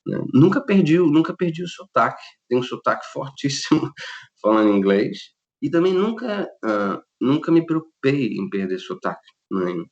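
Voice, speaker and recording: A middle-aged Brazilian man talking in an interview, animated and quick to laugh, often restarting his sentences. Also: medium pitch, highs cut off. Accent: Brazilian Portuguese. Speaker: interview guest, lighting designer. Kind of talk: relaxed conversational interview.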